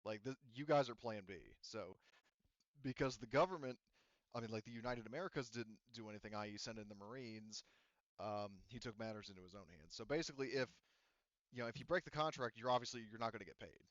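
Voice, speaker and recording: A man running a tabletop roleplaying game, explaining things casually in a halting, self-correcting way as game master. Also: medium pitch, a bit bright, no room sound. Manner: halting, self-correcting, frequent "you know". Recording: dull, lo-fi voice audio.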